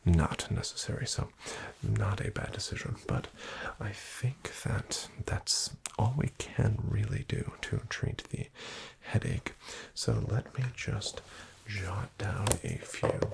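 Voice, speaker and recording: A man in an ASMR doctor roleplay, speaking in a slow, gentle half-whisper full of soft pauses and fillers. His voice sits low and crackles with a heavy, gravelly fry. A clear layer of background noise runs under the close-miked recording.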